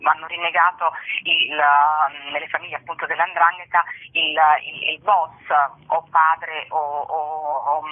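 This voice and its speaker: A woman speaking as a guest in a radio interview, presenting a programme in a halting way as she searches for words, over the phone. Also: fairly low pitch. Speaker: festival organiser interviewed as guest. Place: phone call into a radio show. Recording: tinny narrow phone line.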